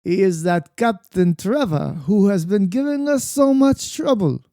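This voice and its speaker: A cold, scheming villain, played by a man, in a radio serial. The voice is dark and mellow, with a deliberate, menacing way of giving orders. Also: high voice, lively intonation, no room sound, clean studio sound. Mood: scheming, commanding. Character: cold, scheming male German spy ringleader, a villain. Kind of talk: 1940s-style radio serial villain acting.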